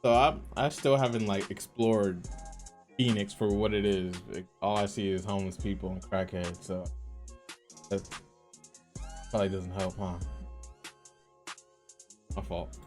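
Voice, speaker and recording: A young American man hosting a reaction video, giving slangy, halting, off-the-cuff commentary in a casual style. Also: low voice, steady tone, darkish tone, soft background music.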